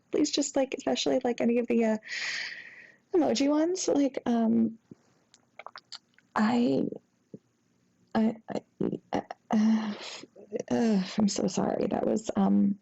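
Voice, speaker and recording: A young woman playing a sheepish, apologetic ex-girlfriend in an intimate audio roleplay, talking haltingly and rambling with embarrassment in a soft voice. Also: fairly high pitch, gravelly, slightly rough, dull audio, exaggerated voice. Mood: flustered, apologetic. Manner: halting, rambling, embarrassed, breaking into nervous giggles. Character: sheepish, flustered, apologetic young ex-girlfriend after a drunken night. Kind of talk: intimate acted phone-call roleplay.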